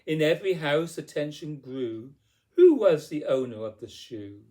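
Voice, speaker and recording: Slow, mellow man reading verse dramatically. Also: a little high, expressive. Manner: theatrical, deliberate phrasing. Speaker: poetry reader. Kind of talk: dramatic storybook verse narration.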